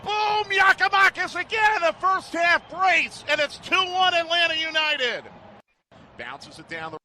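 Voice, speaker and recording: A man's voice: extremely high pitch, lively intonation, measured pace. A man calling a live soccer match as a radio play-by-play commentator. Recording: loud stadium noise behind commentary. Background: stadium ambience.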